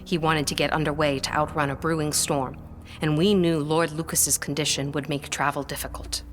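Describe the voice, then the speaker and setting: A woman playing a composed, sceptical manor employee in a period audio drama, with a measured, formal, restrained manner. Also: low-pitched, little pitch movement, soft background music, close to an everyday voice. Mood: grave recounting.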